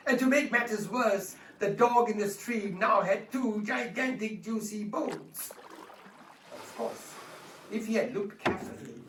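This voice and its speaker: A man telling a dramatised story for children, expressive and speaking directly to his listeners. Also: high voice, a little slow, slight background noise. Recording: roomy, distant mic with faint music.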